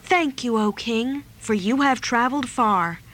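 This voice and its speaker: A woman's voice: a little high, animated, measured pace, fairly bright tone, slight background noise. A woman voicing a feisty, stubborn young girl in a story, with a theatrical manner. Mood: sugary, gentle. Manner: dramatic, swinging from sugary to scrappy.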